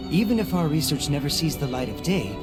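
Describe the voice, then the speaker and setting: A man's voice: a little high, very animated, quick pace, loud music behind the voice. A man voicing an earnest, principled scientist in an anime game, formal and precise. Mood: earnest resolve. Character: earnest, principled, mild-mannered male scientist. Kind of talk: dubbed anime game dialogue.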